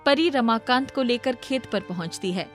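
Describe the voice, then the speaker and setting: A woman's voice: fairly high pitch, expressive, normal speed. A woman reading scripted moral-story narration as the storyteller. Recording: music behind the voice.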